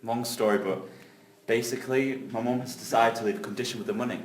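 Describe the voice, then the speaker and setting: Low-key peacemaker brother in echoey room male actor. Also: steady tone, quick pace, lightly acted. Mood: casual, resigned. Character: easygoing, hopeful peacemaker brother, adult man. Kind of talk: script reading of a drama. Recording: echoey room, distant, faint noise.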